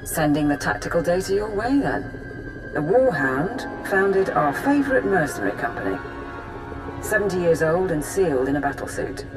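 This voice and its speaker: A female military intelligence officer in a video game, with a very low voice. She is pragmatic, dry-witted and businesslike as she briefs her commander. Music runs clearly behind her. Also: big pitch swings, normal speed, low-quality recording. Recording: game audio under loud music. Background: orchestral game music.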